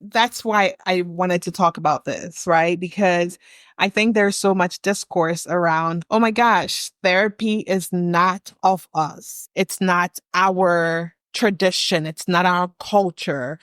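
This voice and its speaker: A woman's voice: fairly low pitch, fairly even intonation, slow pace, fairly bright tone, clean tone, no room sound, studio quality. A young adult woman from West Africa, co-hosting a reflective podcast conversation and building her points in long, earnest runs. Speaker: podcast co-host.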